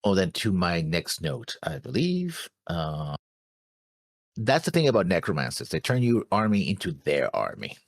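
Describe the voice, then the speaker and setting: Low-voiced man with swinging pitch. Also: wildly swooping pitch, moderate pace, gravelly, dry recording, clean studio sound. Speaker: reaction show co-host. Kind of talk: casual discussion of an anime episode.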